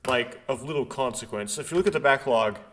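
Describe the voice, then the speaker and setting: A man doing a casual let's play, haltingly thinking aloud and reading visual novel text out. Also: mid-range voice, a bit bright, a little hiss or hum. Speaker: let's player. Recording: background music behind voice. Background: game music.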